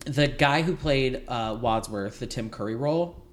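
A man hosting a podcast, telling stories in an animated, gossipy way with a slight lisp now and then. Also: medium pitch, unhurried, a little hiss or hum. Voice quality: light lisp at times. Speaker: podcast host. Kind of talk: casual chat.

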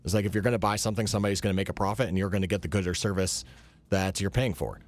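A man co-hosting a radio talk show, explaining his points at length in a measured way. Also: low voice, fairly even intonation. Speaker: radio co-host.

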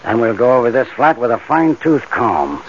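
An actor playing a tough, confident crook who masterminds heists, in 1940s radio style, with slangy gangster talk. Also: male voice, mid-range voice, very animated, fairly quick, natural voice. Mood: cocky, businesslike. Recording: muffled, noisy old-time radio recording.

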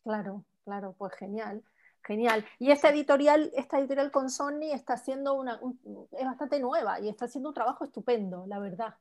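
A woman's voice: a little high, fairly quick, darkish tone, a little hiss or hum. Chatty Argentine woman on a video call. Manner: chatty, friendly, frequent restarts. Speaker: host of book club. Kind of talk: casual chat.

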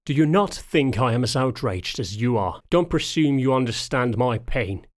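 A man's voice: medium pitch, normal speed, close to an everyday voice. A man voicing a big, lean, older wolfhound knight in an audiobook, formal and restrained, with strained emotion underneath. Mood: anguished, restrained.

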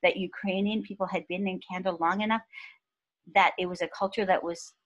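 A woman in her fifties, from Pakistan, talking thoughtfully as a podcast guest with soft laughs. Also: fairly low pitch, fairly even intonation, brisk, muffled recording. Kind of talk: reflective interview talk.

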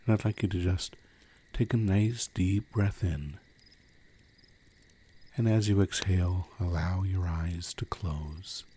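Deep, mellow man guiding sleep meditation. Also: fairly even intonation. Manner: slow, soothing, hushed delivery with long pauses. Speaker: guided meditation narrator. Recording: campfire and cricket ambience, faint noise. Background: crickets and crackling fire.

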